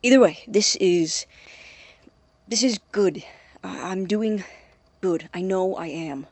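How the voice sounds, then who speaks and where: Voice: medium pitch, slow, dry recording. An actor playing an obsessive, anxious young male researcher dictating experiment notes in an audio drama, in a precise, formal but hesitant way. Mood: wistful, unsure. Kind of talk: audio drama, spoken tape journal.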